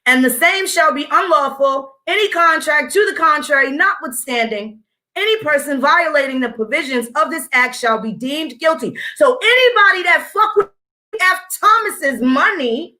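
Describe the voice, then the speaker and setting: High, bright-voiced woman ranting bluntly. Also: lively intonation, normal speed, low-quality recording. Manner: blunt, swearing, talks straight at the audience. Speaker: vlogger. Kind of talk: profane, combative commentary while reading legal text aloud.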